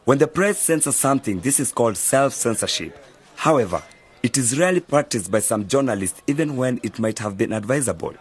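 An easygoing mentor in a radio drama, bit husky, explains stuff carefully. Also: male voice, medium pitch, animated, brisk. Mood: serious, explanatory. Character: knowledgeable, easygoing male media mentor advising young friends. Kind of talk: scripted educational radio drama dialogue.

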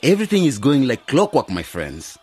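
An actor playing an earnest, optimistic young graduate who leads a village cooperative in a radio drama. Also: male voice, medium pitch, huge pitch swings, moderate pace, darkish tone, husky, soft background music. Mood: upbeat. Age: young adult.

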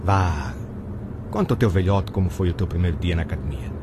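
A man's voice: sits low, expressive, fairly quick. An actor playing a cheerful, curious middle-aged father in a radio drama, chatty and encouraging. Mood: curious, jolly. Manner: chatty, eager, probing. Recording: clear outdoor traffic noise behind. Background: car and street ambience.

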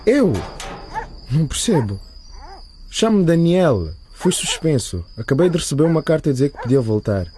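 A bewildered young male student in a radio drama. His mellow voice moves around a lot, polite and hesitant, with faint noise behind. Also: fairly high pitch, sing-song, moderate pace. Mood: polite, puzzled.

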